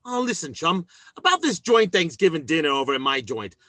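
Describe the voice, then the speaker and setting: Scheming radio sitcom husband, blustery, folksy grammar, lively swooping pitch, dry close sound. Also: male voice, a little fast, no echo. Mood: awkwardly persuasive. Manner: blustery, exaggerated, folksy ungrammatical speech. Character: scheming, self-important working-class husband and factory worker. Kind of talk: old-time radio sitcom acting.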